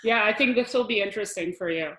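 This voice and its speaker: A woman's voice: medium pitch, fast, a bit bright. Woman from israel teaching hebrew on a video call, chatty, restarts a lot, echoey room. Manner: explanatory, chatty, laughs often, many restarts. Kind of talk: online lesson with shared slides.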